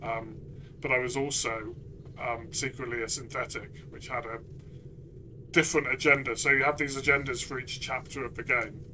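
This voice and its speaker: A man discussing a game conversationally as a player and reviewer, reflective and pausing mid-phrase. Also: mid-range voice, fairly even intonation, background noise.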